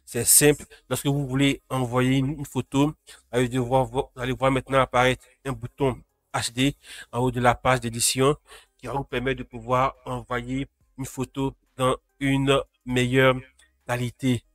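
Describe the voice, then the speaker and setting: A man's voice: mid-range voice, level tone, moderate pace, no room sound, clean studio sound. A man with a cold hosting a casual live tech news roundup, greeting chat viewers by name. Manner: talks to live chat viewers, frequent restarts. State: has a cold.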